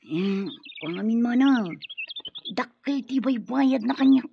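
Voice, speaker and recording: A man's voice: extremely high pitch, takes it slowly, gravelly, exaggerated voice. A man playing an easygoing, obliging household worker in an Ilocano radio drama. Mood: cautious. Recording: muffled radio drama recording, faint hiss.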